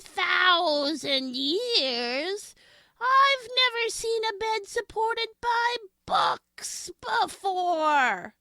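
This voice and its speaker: A woman's voice: sits high, creaky, pushed and bright, no echo, heavily acted. A woman playing an ancient, vengeful hag demon in a supernatural audio drama, speaking with haughty, archaic menace.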